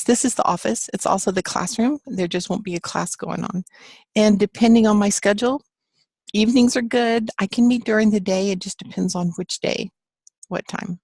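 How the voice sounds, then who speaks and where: A woman's voice: mid-range voice, gravelly, a little mellow, breathy edge, dry recording. A woman teaching a live online class from her home office, chatting with students and reading their answers aloud in an encouraging way. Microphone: computer mic on a video call.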